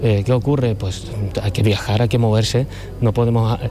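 A middle-aged painter with a Cádiz Andalusian accent, chatting as an interview guest in a loose, rambling way. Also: male voice, lowish voice, steady tone, normal speed, dark tone. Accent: Cádiz Andalusian Spanish. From Cádiz, Spain. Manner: rambling, loose talker who often circles back. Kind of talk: relaxed conversational interview.

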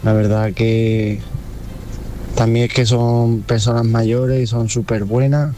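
A man's voice: on the low side, warm tone, rough recording. A young man chatting casually as a contributor to a radio show. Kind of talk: casual chat. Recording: music playing behind the voice.

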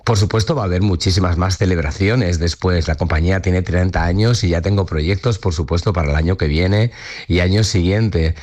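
This is a man in his fifties with a very low voice. He is a dancer and choreographer who speaks thoughtfully in an interview. He often circles back on his words and ends lines with little tag questions. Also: fairly quick, darkish tone. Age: 50s. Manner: reflective, repeats words and adds tag questions. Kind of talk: conversational interview.

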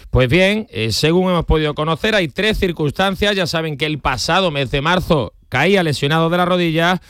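Crisp, high-voiced radio sports presenter. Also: male voice, a bit bright, no room sound, studio quality. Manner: brisk newsreading with light banter. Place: radio studio.

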